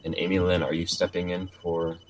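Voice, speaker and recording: A man's voice: low-pitched, monotone, a little fast, slight background noise. A young adult man talking casually as one of the hosts of a group stream. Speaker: streamer introducing scripts.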